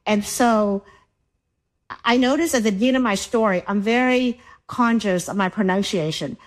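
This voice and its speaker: A woman's voice: mid-range voice, moderate pace, rough recording. A woman from Vietnam who speaks English with a Vietnamese accent, a storyteller talking reflectively on a panel, often restarting her sentences. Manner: reflective, with frequent restarts and "you know". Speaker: storyteller guest. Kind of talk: panel discussion.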